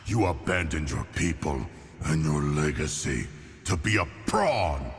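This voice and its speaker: A man's voice: animated, a little slow, soft background music. A man playing a scornful, regal sea-creature villain in a video game, speaking in solemn, accusatory oratory through a reverberant effect. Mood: scornful, menacing. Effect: deep reverberant voice effect. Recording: long cavernous reverb.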